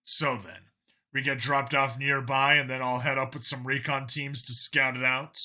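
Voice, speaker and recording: A man's voice: medium pitch, level tone, normal speed, pushed and bright, voice pushed down. A man voicing a weary, skeptical human cop in an audiobook, plain-spoken and wry. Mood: wary. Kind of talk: audiobook character dialogue.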